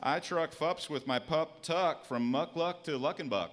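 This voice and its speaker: A man's voice: fairly high pitch, measured pace, close to an everyday voice. A man in his thirties playing a laid-back, good-natured beer truck driver in a live comedy sketch full of tongue-twisters. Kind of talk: live radio-style beer ad parody. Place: stage in a small theatre. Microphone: stand microphone.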